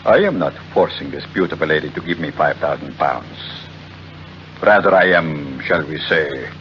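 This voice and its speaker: An actor playing a smug, shabby-genteel blackmailer of about fifty in old radio drama, speaking with formal, unhurried mock courtesy. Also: male voice, extremely low pitch, expressive. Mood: oily, polite. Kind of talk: 1940s radio acting. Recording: dull, noisy old radio recording.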